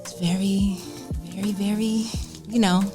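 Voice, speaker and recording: An American woman from the north chatting casually as a podcast guest, halting and repeating words for emphasis. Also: mid-range voice, level tone. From northern United States. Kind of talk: casual group chat. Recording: music under the voice.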